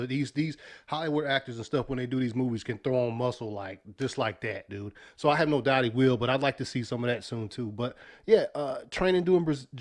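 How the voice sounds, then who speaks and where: A young man with a sniffly voice from sinus trouble, hosting a casual weekly news podcast in a loose, slangy way with lots of fillers. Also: medium pitch. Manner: laid-back, loose slang, many fillers and restarts. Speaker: podcast host.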